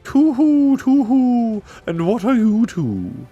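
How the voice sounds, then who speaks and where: A man voicing a big, fussy, flustered owl in a storybook, with hooting, drawn-out vowels. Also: very high voice, huge pitch swings, husky, muffled recording, soft background music, voice pushed up, heavily acted. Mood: curious, hooting. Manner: fussy muttering, stretched words. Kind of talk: storybook character voice.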